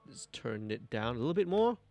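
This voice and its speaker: A young man, talking through a mix on a studio livestream, relaxed and thinking aloud as he works. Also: medium pitch, a little fast. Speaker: mixing engineer host. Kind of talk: livestream mixing tutorial. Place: indoor music studio.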